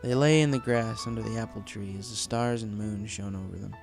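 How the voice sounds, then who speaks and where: A man's voice: fairly low pitch, fairly quick, creaky, a little mellow. Man narrating a story over music. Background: background music.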